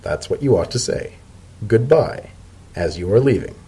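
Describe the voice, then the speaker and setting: A dignified male pony official from a storybook, played by a man. His voice is fairly low and husky. He speaks with dry, deadpan understatement and firm, dutiful resolve. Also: moderate pace. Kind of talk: dramatic story reading.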